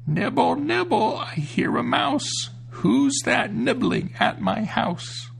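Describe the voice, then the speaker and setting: A wicked old witch with a shrill, scratchy cackle in her voice, stretching her threats out slowly and slyly. A man plays her, pushing his voice up high. Her pitch leaps and dips as she taunts the children. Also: sing-song, creaky, heavily acted. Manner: shrill, cackling, slow and sly.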